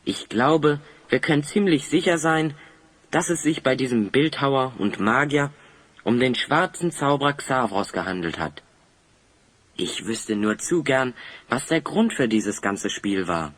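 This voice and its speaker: A decisive, curious leader of adventurers in a fantasy audio drama. His medium-pitched voice moves in lively swings, expressive and theatrical. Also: a little slow. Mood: pondering. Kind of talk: German fantasy audio play acting.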